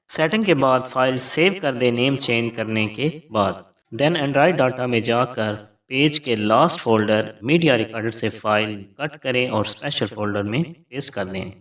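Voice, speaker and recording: A man's voice: mid-range voice, fairly bright tone, far from the mic. A man narrating a scripted tutorial as host, giving formal step-by-step instructions.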